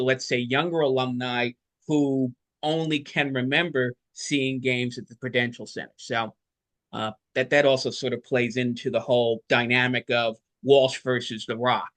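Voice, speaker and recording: A man's voice: mid-range voice, fairly bright tone. A man co-hosting a sports podcast, giving conversational basketball analysis in an emphatic, explanatory way.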